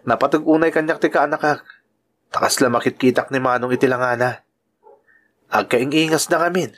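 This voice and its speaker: A man's voice: mid-range voice, fairly quick, a bit bright, lightly acted. A man with an Ilocano accent playing a good-natured bachelor uncle and devoted son in a radio-style drama. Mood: earnest.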